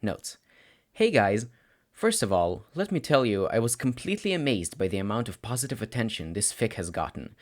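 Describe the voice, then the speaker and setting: A man reading fan fiction aloud as an amateur audiobook narrator, in an expressive scripted style. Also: medium pitch.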